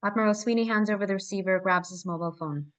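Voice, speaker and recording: A woman's voice: medium pitch, quick pace. A woman narrator reading screenplay stage directions aloud at a live table read.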